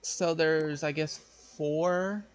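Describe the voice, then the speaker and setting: A streamer thinking aloud in a casual, tentative way while playing a game. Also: male voice, fairly high pitch, fairly even intonation, no echo. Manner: muttering to himself, unhurried and tentative. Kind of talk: casual gameplay commentary.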